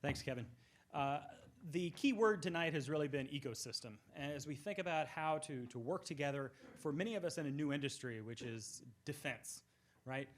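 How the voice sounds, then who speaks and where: A man speaking to a live audience, using rhetorical questions in a steady tone. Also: on the high side, normal speed. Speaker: event speaker.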